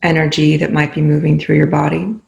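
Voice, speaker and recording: A meditation guide leading a session in a soothing, unhurried manner with long pauses between phrases. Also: mid-range voice, completely flat delivery, vocal fry, a little mellow, highs cut off. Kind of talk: guided meditation, spoken slowly.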